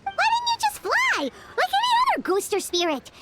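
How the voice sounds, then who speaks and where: A woman's voice: wildly swooping pitch, moderate pace, very noisy, full character voice. A woman voicing a tiny, cheeky, childlike female fairy companion who talks about herself in the third person. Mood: chirpy. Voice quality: high squeaky childlike voice. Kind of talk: video game voice acting.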